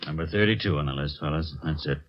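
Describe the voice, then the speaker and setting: A man's voice: a bass voice, fast, a little hiss or hum. A man playing a laconic, assured police handwriting expert in a 1950s radio drama. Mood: assured, terse. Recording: muffled old radio recording.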